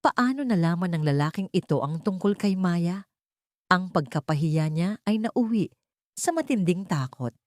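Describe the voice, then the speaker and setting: A woman's voice: on the low side, animated, normal speed, a little mellow, no room sound, clean studio sound. A woman narrating a scripted dramatic audio story in a solemn, emotive manner.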